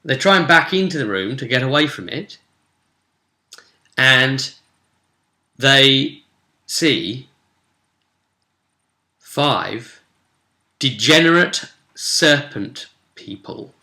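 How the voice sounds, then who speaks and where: A man casually rambling through a recap of a tabletop role-play session as its game master, jokey and animated, often interrupting himself. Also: medium pitch, very slow, pauses often. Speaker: game master and vidcast host. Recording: echoey, roomy sound.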